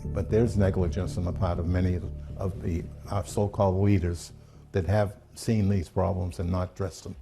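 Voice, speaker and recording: A man's voice: low-pitched, little pitch movement, moderate pace, creaky, mellow, faint music behind. An older man giving interview comments as an aviation safety expert. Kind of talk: interview soundbites.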